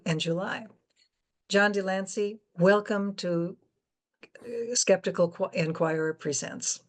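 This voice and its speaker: An older woman acting as moderator, introducing and interviewing a guest. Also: lowish voice.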